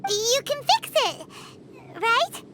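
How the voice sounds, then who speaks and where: A woman voicing a tiny, excitable, childlike fairy companion in a video game, squeaky, chatty and blunt. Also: very slow, slight background noise, heavily acted. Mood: frank, encouraging. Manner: chatty, exclaiming, speaks of self by name.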